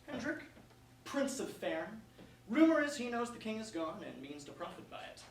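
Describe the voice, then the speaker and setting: A woman playing a teasing, quick-witted male court jester in a staged reading of a verse comedy. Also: fairly high pitch, very animated. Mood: conspiratorial. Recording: distant echoey room with faint noise.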